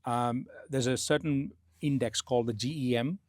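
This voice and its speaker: A man's voice: mid-range voice, normal speed, a little mellow, dry recording, clean studio sound. A man in his forties hosting an interview, asking questions and affirming the guest often, on a lapel mic. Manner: frequent short affirmations. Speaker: podcast host. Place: studio set with plants and armchairs.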